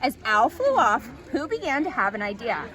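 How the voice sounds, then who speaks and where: A woman reading a children's story aloud as an expressive storytime narrator. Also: a little high, moderate pace, some chatter behind, rough recording.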